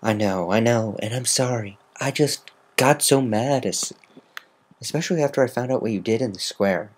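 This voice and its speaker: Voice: mid-range voice, normal speed, slight background noise, close to an everyday voice. A reader performing a brash, proud cartoon pegasus mare, defensive and hurting underneath, in a dramatic fan fiction reading. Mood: apologetic, friendly.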